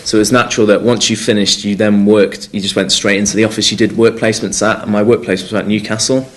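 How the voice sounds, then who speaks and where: Man in his 20s, from North Wales, slightly low voice, hesitant, filler-heavy, press conference answers. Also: little pitch movement, quick pace. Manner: hesitant, many fillers and restarts. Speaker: rugby player.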